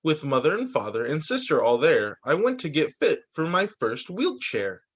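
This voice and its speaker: Guy reading as hopeful happy kid from a rhyming picture book, highish n very animated. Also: moderate pace, slightly rough, exaggerated voice. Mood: excited, hopeful. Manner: sing-song rhyming storybook delivery.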